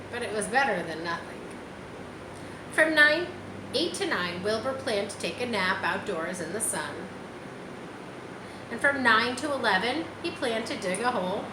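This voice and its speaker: A woman reading a children's story aloud in an unhurried, explanatory manner, with asides spoken directly to listeners. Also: medium pitch. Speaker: storybook reader. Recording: roomy echo, loud vehicle-like background noise.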